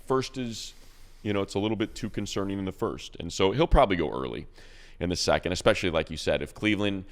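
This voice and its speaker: A man's voice: deep voice, quick pace. A man, a radio play-by-play announcer, chatting casually about football picks, rambling with restarts and repeated words. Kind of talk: casual sports chat.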